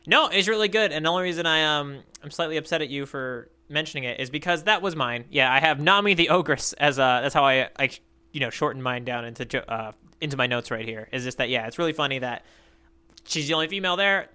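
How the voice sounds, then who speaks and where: A man's voice: fairly high pitch, quick pace, sharp tone, smooth. A man co-hosting a podcast, chatting casually in a playful, teasing way, rambling with fillers. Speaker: podcast co-host. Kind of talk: casual chat.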